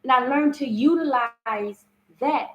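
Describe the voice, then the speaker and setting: Slow, earnest woman with a big voice. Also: sits high, roomy sound, dull audio. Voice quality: strong, big voice. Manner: earnest and repetitive, frequent "you understand?". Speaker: spiritual self-love host. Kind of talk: live-streamed motivational talk.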